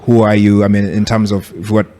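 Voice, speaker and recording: Lower-voiced Kenyan man hosting a podcast. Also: flat delivery, fast talker, dark tone. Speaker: podcast host. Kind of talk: casual podcast interview.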